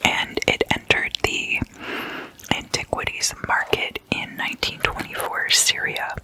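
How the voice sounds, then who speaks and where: Asmr host whispering real slow, crisp bright hiss to it, explaining stuff all hushed. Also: low-quality recording.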